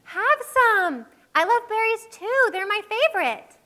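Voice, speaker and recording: A woman playing a fearless, eager, friendly little village girl in a children's puppet show, excitable and full of exclamations. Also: very high voice, measured pace, full character voice. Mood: friendly, curious.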